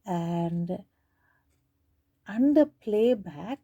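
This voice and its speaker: Unhurried woman giving a hands-on tutorial. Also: mid-range voice, lively intonation, dark tone, muffled recording. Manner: hesitant, step-by-step, thinking aloud. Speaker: tutorial presenter demonstrating tape digitizing. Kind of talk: informal unscripted how-to demonstration.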